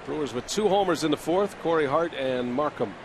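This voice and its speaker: Play-by-play man for a ball game, measured delivery, slightly high voice, noisy broadcast feed. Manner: steady, measured game calling. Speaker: play-by-play announcer. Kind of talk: baseball play-by-play commentary.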